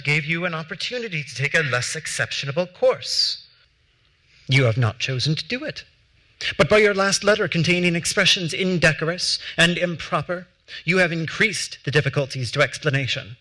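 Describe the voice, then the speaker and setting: A man's voice: fairly high pitch, bright, forceful tone, rough recording. A young man reading as a curt, proud statesman. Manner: crisp, formal, curtly defensive. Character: defensive proud male statesman refusing demands. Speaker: actor reading historical letters. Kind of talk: staged dramatic reading. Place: theater stage.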